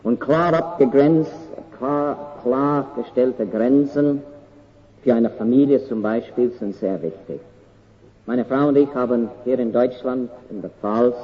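An older man from Canada with an English accent, his first language English, preaching a sermon in an earnest, slow and deliberate way with halting pauses. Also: mid-range voice, lo-fi sound.

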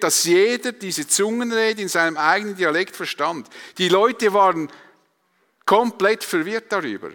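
A man's voice: high voice, bright, forceful tone. A man with a Swiss German accent preaching a sermon to a congregation, emphatic, with rhetorical repetition and questions. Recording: long hall reverb.

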